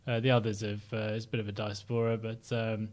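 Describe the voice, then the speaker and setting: A middle-aged man from Sheffield with a softened northern English accent, talking as an interview guest, reflective and full of ums and restarts. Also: fairly low pitch, flat delivery, fairly quick. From Sheffield, South Yorkshire, England. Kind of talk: casual interview chat.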